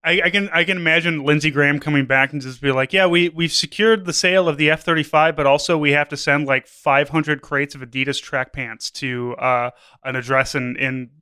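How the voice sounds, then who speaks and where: A man's voice: a little high, steady tone, a little fast, pushed and bright, clean tone. A man co-hosting a podcast in casual comedic banter, joking, swearing and repeating his words. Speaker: podcast co-host.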